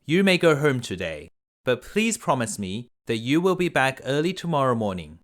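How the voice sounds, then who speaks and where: Storybook beast, played by a male reader: polite, lovesick, earnest, mid-pitched with animated intonation. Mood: sad, pleading. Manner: courteous, measured, earnest. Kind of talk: storybook character voice.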